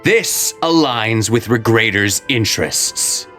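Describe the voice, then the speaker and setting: Sneering mercenary, music behind. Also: male voice, lowish voice, animated, slow, bright, forceful tone, full character voice. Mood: boastful. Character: mocking mercenary debt collector, loyal only to money. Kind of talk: video game character acting.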